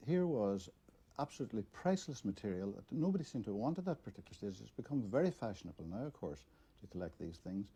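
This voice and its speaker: Low, very dark, husky Derry man. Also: big pitch swings. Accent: Derry, Northern Irish. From Derry, Northern Ireland. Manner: halting, searching for words. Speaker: interviewee, local photo archivist. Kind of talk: unscripted interview recollection.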